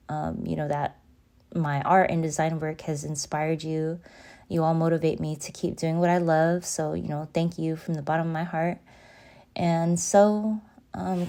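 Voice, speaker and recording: A woman's voice: fairly low pitch, dark tone, clear, resonant tone. A woman in her thirties from Seattle, a graphic designer casually talking through her design work in a screen walkthrough, chatty and friendly, with lots of "um" and "you know". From Seattle, USA.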